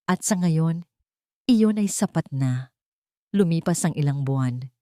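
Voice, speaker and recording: Mababang boses ng babaeng tagapagsalaysay. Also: female voice, sits low, lively intonation, unhurried, warm tone, no echo, studio quality. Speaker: audio drama narrator. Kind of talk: scripted dramatic story narration.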